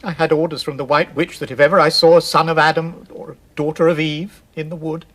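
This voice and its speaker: A man playing a gentle, timid faun in a radio theatre storybook drama, fretful and self-reproachful, with halting, theatrical delivery. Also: fairly high pitch, little pitch movement. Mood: guilty confession.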